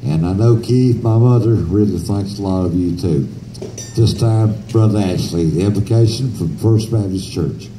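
A man's voice: on the low side, normal speed. A man making informal stage announcements as an event announcer. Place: outdoor festival stage. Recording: echoey outdoor PA, faint noise.

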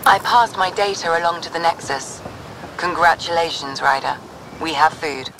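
A wry, deadpan scientist in a sci-fi video game. The voice is creaky and dry, with clear outdoor vehicle noise behind it. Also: female voice, deep voice, normal speed. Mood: wry, reassuring. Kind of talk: video game dialogue acting.

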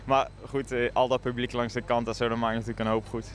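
A young man gives a post-race interview at a medium pitch. There is faint outdoor street noise behind his voice. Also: little pitch movement, fast. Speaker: professional cyclist interviewee. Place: outdoors by team truck in street. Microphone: handheld reporter mic.

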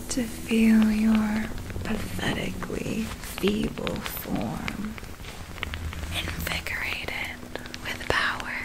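Woman acting a very slow whispering vampire. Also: fairly high pitch, heavily acted, poor audio. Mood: seductive, coaxing. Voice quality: breathy unvoiced whisper. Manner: slow, drawn-out, hypnotic delivery. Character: predatory vampire captor, coolly possessive, menacing yet tender. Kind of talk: ASMR roleplay.

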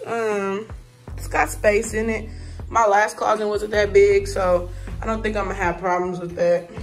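A woman vlogger giving a casual, chatty tour of her new apartment, talking straight to her viewers with informal slang while filming on a phone. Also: medium pitch. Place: empty apartment indoors. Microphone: phone camera held while walking.

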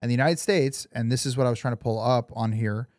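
A man with a middle-pitched voice talks politics in an informal, emphatic podcast style. He swears casually as he makes his points. The sound is dry, with little room in it. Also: quick pace, darkish tone, no echo. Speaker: podcast co-host. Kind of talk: casual opinionated political chat.

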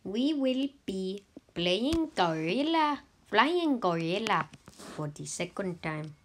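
A gaming YouTuber with an Indian accent, giving deadpan, halting comedic commentary over mobile gameplay. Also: female voice, medium pitch, noisy background. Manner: deadpan, halting, joking persona.

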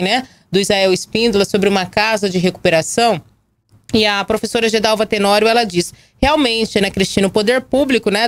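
Brazilian news anchor lady, bit hoarse w a cold, dry close studio sound. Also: mid-range voice, no room sound. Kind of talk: live local news presenting with viewer comments. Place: small indoor news studio. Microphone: gooseneck desk microphone.

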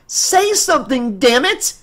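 A man's voice: sits high, unhurried, fairly bright tone, voice pushed up. A man voicing a distraught, exhausted mother in an audiobook, with a pleading, exasperated manner. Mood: angry, exasperated. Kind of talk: audiobook character acting.

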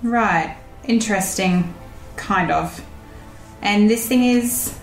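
A woman's voice: mid-range voice, takes it slowly, echoey room, soft background music, rough recording. A woman playing a cool, skeptical crew member in a space audio drama, hesitant and dry.

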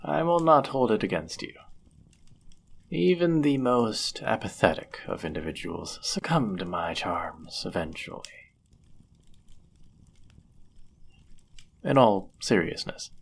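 A man acting a deep-voiced, courteous medieval innkeeper. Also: creaky, a little hiss or hum, heavily acted. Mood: teasing, flirty. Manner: gentle, polite, measured, formal wording. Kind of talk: intimate roleplay audio acting, formal old-fashioned speech.

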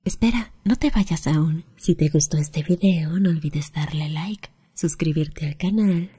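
A woman whispering a scripted ASMR channel announcement in a very breathy voice, close to the microphone. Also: low-pitched, measured pace, dark tone.

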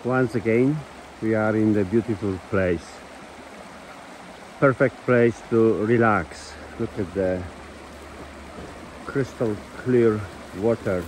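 A man with as a giving slow, enthusiastic commentary into a phone while filming outdoors at a ski hill and a mountain river. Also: lowish voice, a little mellow, a little hiss or hum, rough recording. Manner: slow, enthusiastic, simple phrasing with pauses. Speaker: vlogger.

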